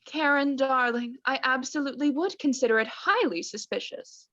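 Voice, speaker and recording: A young woman plays an oblivious, genteel mother in a student radio drama. Her high voice is arch and theatrical, captured in a dry but slightly muffled home recording. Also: normal speed, sharp tone, dry recording. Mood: arch, hedging. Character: doting, oblivious mother, affectedly genteel wife. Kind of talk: student radio play acting.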